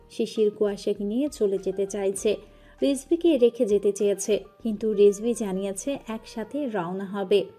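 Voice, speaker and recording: A woman narrating a scripted romantic love story with an expressive, emotive reading. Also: mid-range voice.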